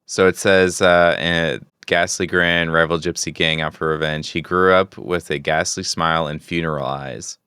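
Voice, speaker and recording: Very deep, smooth-voiced man chatting. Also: fairly even intonation, normal speed, hardly any pauses, pushed and bright, dry recording, clean studio sound. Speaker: podcast co-host. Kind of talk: casual chat.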